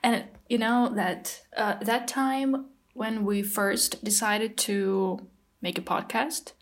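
A woman's voice: medium pitch, fairly even intonation, slow. A woman in her early twenties co-hosting a casual podcast with a friend, talking with lots of fillers and small laughs. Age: 20s. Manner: hesitant, many fillers, giggly. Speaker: podcast co-host, psychology student. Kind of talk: casual chat.

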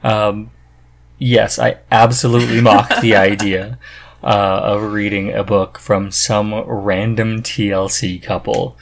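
A man with a fairly low voice hosts a casual podcast. He talks earnestly and with enthusiasm, in a loose conversational style. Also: level tone, slow, vocal fry. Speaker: podcast host.

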